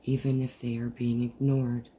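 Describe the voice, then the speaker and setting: A person leading a guided meditation in a soothing, deliberate manner with long pauses, recorded over a video call. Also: mid-range voice, little pitch movement, warm tone. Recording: noisy, muffled group video-call audio.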